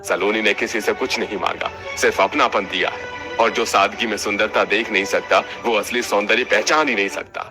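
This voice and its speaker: A man's voice: fairly low pitch, fairly even intonation, moderate pace, hardly any pauses, music in the background, close to an everyday voice. A man playing a dignified, protective father-in-law in a family cartoon, earnest and moralizing. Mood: indignant. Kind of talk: Hindi cartoon family drama dialogue.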